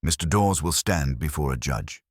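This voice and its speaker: A man reads as a pompous, brilliant detective with a hugely deep voice. He is stern and formal, handing down terse judgments like rulings in an audiobook mystery. His intonation rises and falls firmly, recorded very dry. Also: expressive, moderate pace, slightly rough, no echo, exaggerated voice. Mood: cool, scornful.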